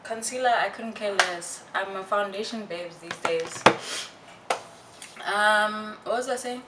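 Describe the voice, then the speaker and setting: A young woman vlogger chatting casually to her viewers in a candid, halting, reflective way with slangy asides. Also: mid-range voice. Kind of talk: casual personal chat to viewers. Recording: roomy, distant mic, faint noise.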